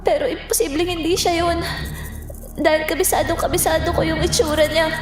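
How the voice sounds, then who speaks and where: A woman's voice: very high voice, level tone, fairly quick, over music. A woman acting in a Filipino audio drama as a sweet, easily hurt young student, earnest and girlish, with a Filipino accent. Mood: shaken, baffled.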